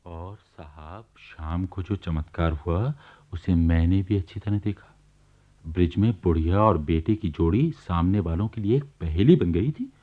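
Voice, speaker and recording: An actor playing an amused storyteller in a radio play, narrating in a wry, chatty way. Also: male voice, low voice, measured pace. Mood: amused, relishing. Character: amused male storyteller recounting neighbourhood gossip. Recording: dull, lo-fi archive radio recording.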